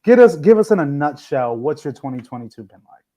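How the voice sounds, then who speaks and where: A man's voice: a little high, big pitch swings, mellow, highs cut off. A man hosting a casual podcast interview, rambling through long, loose questions with frequent restarts. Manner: rambling, restarts often, drawn-out phrasing. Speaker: podcast host.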